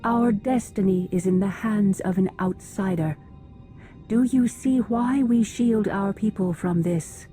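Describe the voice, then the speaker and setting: A woman's voice: mid-range voice, measured pace, mellow, soft background music, close to an everyday voice. An actress playing a stern, distrustful female alien council leader in a video game, formal and grave. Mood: grave foreboding. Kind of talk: video game cutscene acting.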